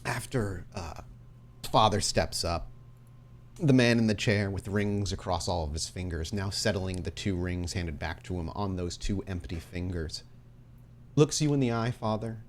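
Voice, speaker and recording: A man narrating a tabletop roleplaying game as game master, with deliberate, suspenseful storytelling. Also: low-pitched.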